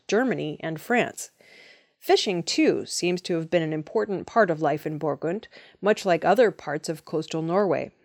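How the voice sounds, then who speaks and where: A woman reading scripted news in a measured, formal delivery. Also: lowish voice, a little mellow, dry recording, studio quality. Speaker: news reader.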